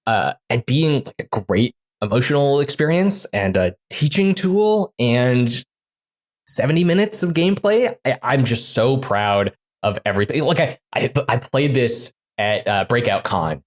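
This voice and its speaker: A man who designs games, talking casually in an interview, full of fillers and quick restarts. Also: mid-range voice, expressive. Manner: chatty, many fillers and restarts. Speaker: game designer guest.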